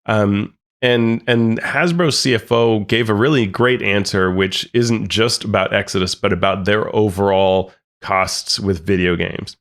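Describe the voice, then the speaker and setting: A man with a fairly low voice talks through a podcast news segment. He reads off figures in a relaxed way and drops in dry, wry remarks between the numbers. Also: measured pace, hardly any pauses. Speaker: podcast co-host. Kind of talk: casual news discussion.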